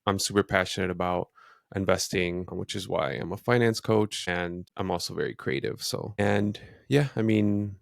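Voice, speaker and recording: A man's voice: low voice, steady tone, normal speed, vocal fry, no room sound, studio quality. A man in his thirties co-hosting a podcast, chatting informally and often adding "you know". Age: 30s. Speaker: podcast host. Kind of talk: casual conversational podcast chat.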